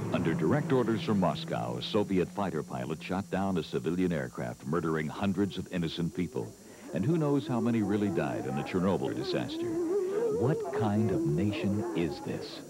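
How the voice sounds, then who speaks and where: A man's voice: sits low, sing-song, brisk, a loud crowd. A man narrating a public service spot in a grave, ominous and emphatic scripted style. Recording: 1980s TV spot under loud music. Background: loud music.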